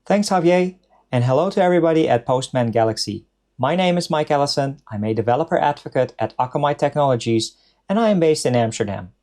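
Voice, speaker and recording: A man giving a brisk, upbeat scripted introduction at a tech conference. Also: fairly high pitch, lively intonation, a little mellow. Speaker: conference speaker.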